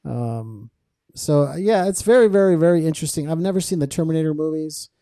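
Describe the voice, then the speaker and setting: A man's voice: on the high side, fairly quick, mellow, clean tone, no room sound, studio quality. A man hosting a conversational podcast, speaking earnestly about faith and posing rhetorical questions as he goes. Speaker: podcast host and interviewer. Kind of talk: conversational podcast interview with religious commentary.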